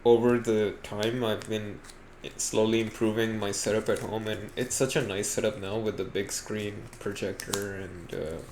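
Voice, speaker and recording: A man with a fairly low voice chats casually as a podcast co-host. His delivery is low-key and conversational, with some hesitation. The recording has noise in the background. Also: little pitch movement, moderate pace, few pauses, darkish tone. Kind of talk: casual chat.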